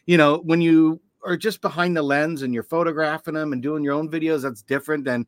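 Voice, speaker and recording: A man's voice: fairly high pitch, steady tone, brisk, no echo, studio quality. A man from Canada co-hosting a casual podcast, rambling and enthusiastic, still worn out from being sick. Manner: rambling, enthusiastic, many fillers. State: tired, recovering from illness. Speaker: podcast co-host.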